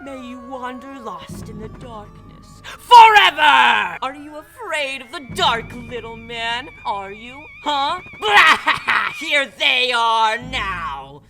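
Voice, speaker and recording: A woman voicing a menacing, vengeful spirit in a game dub, with theatrical, drawn-out taunting and cackling. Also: high-pitched, sing-song, gravelly, pushed and bright, shouting, faint music behind, exaggerated voice. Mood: gloating menace.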